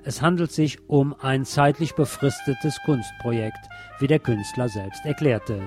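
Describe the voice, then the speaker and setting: A man's voice: medium pitch, measured pace. A man presenting scripted local radio news as a narrator. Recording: music playing behind the voice. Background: background music.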